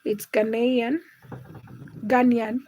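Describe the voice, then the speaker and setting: A woman's voice: a little high, level tone, very slow, dark tone, slight background noise. A young woman from Uganda and Kenya hosting a casual livestream, reading out viewers' comments and teasing them by name. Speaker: livestream host.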